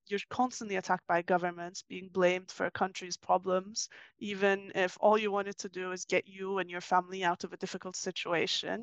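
A woman's voice: mid-range voice, fairly bright tone, no echo. A woman from Turkey giving conversational, explanatory answers as an interview guest. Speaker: interview guest, author and financial journalist.

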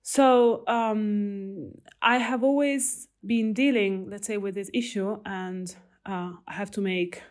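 A young adult woman from Italy with an Italian accent, talking thoughtfully about her research, with many pauses and restarts. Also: mid-range voice, darkish tone. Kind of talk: reflective conversational talk.

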